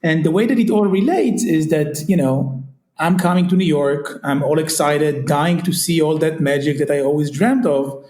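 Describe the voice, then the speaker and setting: A man's voice: a little high, moderate pace, darkish tone. Israeli man with an echoey sound. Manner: searching, heavy on fillers like "kinda" and "you know". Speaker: guest, retail company CEO. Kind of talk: casual interview chat.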